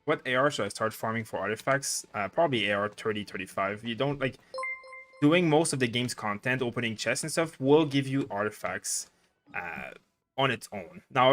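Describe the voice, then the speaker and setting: A man's voice: mid-range voice, fairly bright tone, no echo. A young man from Quebec with a Quebec French accent, casually chatting through a livestream and thinking aloud with lots of fillers. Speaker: game streamer.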